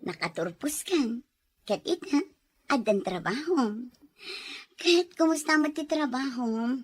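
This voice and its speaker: A woman's voice: high-pitched, lively intonation, takes it slowly, long pauses, dry recording. A woman playing an affectionate, teasing young wife in a radio drama. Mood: hopeful.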